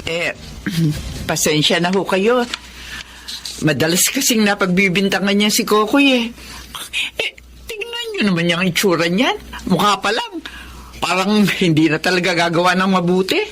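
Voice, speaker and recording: A woman's voice: medium pitch, sing-song, normal speed, fairly bright tone, slight background noise. A Filipino actress playing a scornful, greedy middle-aged mother in a Tagalog radio drama, mocking and nagging. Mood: mocking, feigned politeness. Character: scornful, greedy mother who belittles her homely son.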